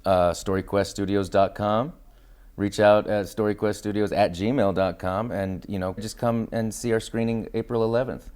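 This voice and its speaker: A man with a distinctly deep voice talks as a guest in an interview. His style is casual and enthusiastic, with phrases strung loosely together as he explains things. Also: steady tone, normal speed, darkish tone, clear, resonant tone. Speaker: interview guest, acting studio founder and teacher.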